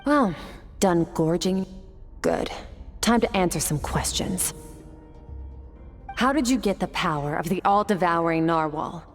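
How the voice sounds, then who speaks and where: A woman's voice: on the low side, unhurried, long pauses, soft background music, natural voice. An actress playing an aloof, battle-hardened swordswoman in a video game, composed and condescending in manner. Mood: challenging, disdainful. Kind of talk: scripted video game acting.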